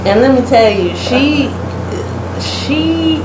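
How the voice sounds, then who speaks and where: A woman's voice: high-pitched, expressive, slow. A woman co-hosting a casual talk show, sharing her opinions in an emphatic, plain-spoken way. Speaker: podcast co-host. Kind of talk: casual chat, giving opinions on poetry. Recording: roomy echo, clear background hum and noise.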